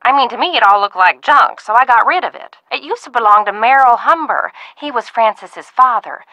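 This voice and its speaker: A woman's voice: on the high side, lively intonation, brisk, pushed and bright, no room sound, rough recording. An actress playing a chatty, motherly ranch-owner aunt in a video game, folksy and fondly scolding over the phone. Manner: folksy, talkative, fond scolding.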